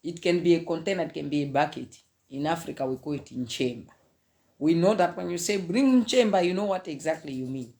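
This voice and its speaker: A Zambian woman in her thirties speaks straight into a phone camera from a small indoor room. She talks in an animated, preachy way, breaking into laughter and slipping in pidgin phrases as she goes. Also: low voice, fairly quick. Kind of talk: casual preachy monologue to camera. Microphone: phone camera at arm's length.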